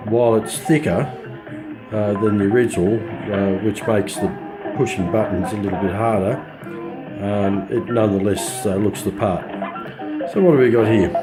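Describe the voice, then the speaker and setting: An Australian man giving an informal hands-on product walkthrough in a halting, understated way, his voice slightly scratchy as he gets over influenza. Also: lowish voice, music in the background. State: recovering from influenza. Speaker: radio hobbyist reviewer.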